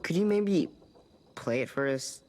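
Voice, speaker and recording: Gentle, earnest young boy. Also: fairly high pitch, lively intonation, unhurried, slight background noise. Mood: hopeful, tentative. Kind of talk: naturalistic video game drama acting.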